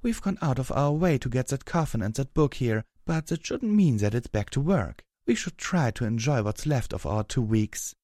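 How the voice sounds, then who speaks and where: A man's voice: medium pitch, lively intonation, normal speed, hardly any pauses, darkish tone, no echo, clean studio sound, voice pushed up, natural voice. A man voicing a refined, self-assured female unicorn in an audiobook story, dainty and emphatic. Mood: breezy, assured.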